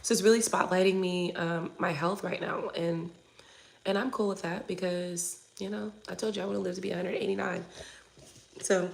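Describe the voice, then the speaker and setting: A woman in her forties talks to her live-stream viewers in a loose, chatty way, cursing now and then. Her voice has a gravelly fry at the bottom. She is under the weather and keeps clearing her throat. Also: fairly low pitch, rough recording. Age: 40s. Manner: chatty and candid, swears casually. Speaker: tarot reader hosting a live stream. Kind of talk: casual chat to viewers, reading comments.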